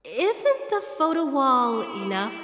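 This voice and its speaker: A woman voicing a soft, curious young female newcomer in a video game, brief and inquisitive. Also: high voice, very animated, slow, heavily acted. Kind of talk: video game character dialogue. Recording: echoey hall reverb under string music.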